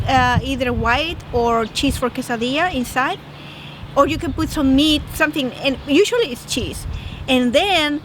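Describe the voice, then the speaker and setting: A woman from northern Mexico with a Mexican Spanish accent, chatting and explaining at length in a casual street interview. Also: high voice. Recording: outdoor street noise.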